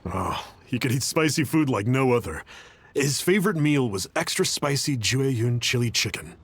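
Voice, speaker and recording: A man's voice: medium pitch, lively intonation, normal speed, breathy edge, dry recording. An actor playing an earnest, polite young man who cooks, a little wistful about an old friend. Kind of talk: video game voice acting.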